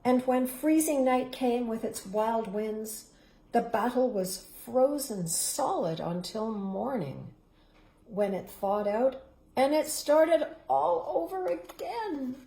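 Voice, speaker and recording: Woman storyteller, middle-aged, slow and expressive read-aloud, a bit high, echoey room. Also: gravelly. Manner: expressive, dramatic rises and hushed, whispered endings. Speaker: storybook reader. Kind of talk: reading a picture book aloud. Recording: roomy echo.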